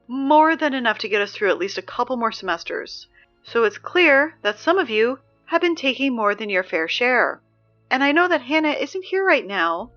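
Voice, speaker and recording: A woman's voice: high voice, dull audio. A woman playing a sarcastic, exasperated teen announcer. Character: exasperated teenage girl reading school announcements. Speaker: school announcer. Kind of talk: audio drama reading school announcements.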